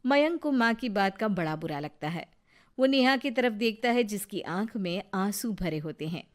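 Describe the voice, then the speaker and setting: A woman's voice: mid-range voice, lively intonation, moderate pace. A woman narrating a scripted moral story as the storyteller.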